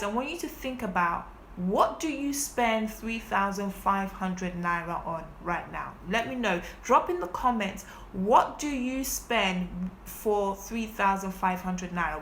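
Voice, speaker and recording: Woman life coach, forty, bright voice, chatty and persuasive, live stream in a roomy space. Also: mid-range voice, slight background noise. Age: 40s. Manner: direct, persuasive, chatty with viewers. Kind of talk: live-stream masterclass pitch. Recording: echoey room.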